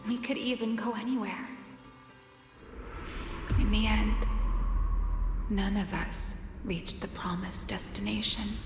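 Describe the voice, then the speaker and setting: An actress playing a sharp-tongued woman among colleagues in a video game, with a theatrical delivery. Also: medium pitch, fairly even intonation, slow, pauses often. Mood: wistful. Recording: echoing hall, loud music behind.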